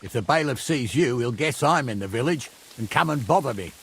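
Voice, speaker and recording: A man's voice: medium pitch, brisk, breathy edge. An actor playing a bossy, hot-tempered medieval man in command, in a video game. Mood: brisk, wry. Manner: imperious, animated, commanding.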